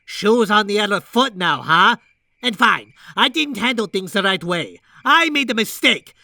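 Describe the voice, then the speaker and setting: A man's voice: high voice, expressive, unhurried, gravelly, pushed and bright, no echo, studio quality, exaggerated voice. A man voicing an anxious, apologetic young man with a nervous, stammering, pleading manner in a fan comic dub. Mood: defensive.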